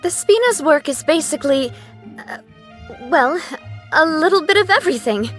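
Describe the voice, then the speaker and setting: A woman's voice: high-pitched, expressive, normal speed, a bit bright. An actress voicing a cheerful, earnest young woman who leads a guild in a video game, musing candidly and expressively. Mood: upbeat, friendly. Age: young adult. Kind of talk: scripted video game character monologue. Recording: music behind the voice.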